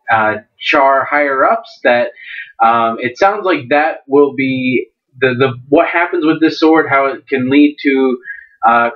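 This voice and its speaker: A man talks casually as a reviewer in a slightly dull, lo-fi recording. His delivery is halting and conversational, as he searches for the next word. His pitch is ordinary for a man. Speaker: video host and book reviewer. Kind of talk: casual review talk with dramatic book readings.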